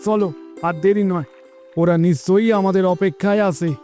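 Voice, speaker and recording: A man playing an earnest, sympathetic village fisherman in an animated moral story. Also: sits high, brisk, soft background music, natural voice. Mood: concerned, determined. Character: sympathetic village fisherman eager to help neighbours.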